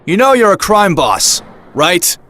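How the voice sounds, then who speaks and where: An actor playing a wisecracking, cynical young vigilante antihero in an animated comic, narrating himself in a dry, sardonic manner. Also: male voice, sits high, a little slow, heavily acted. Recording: roomy, echoing sound.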